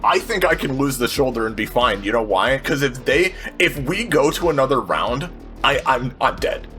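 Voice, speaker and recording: A man's voice: medium pitch, animated, normal speed, bright, forceful tone, faint music behind. A man chatting informally as a player in a tabletop game, swearing and repeating himself as he reasons aloud. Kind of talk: casual game-table chat.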